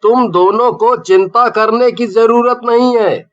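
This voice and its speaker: A man playing an excitable village man in an animated story, with drawn-out, exaggerated delivery. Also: extremely high pitch, muffled recording, soft background music. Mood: friendly, curious. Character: excitable village man, by turns helpful, mocking, panicky.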